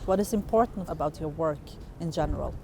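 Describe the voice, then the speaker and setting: A woman's voice: low voice, a little fast. Woman interviewer with faint background noise. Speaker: interviewer, dialogue expert. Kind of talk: conversational interview questions.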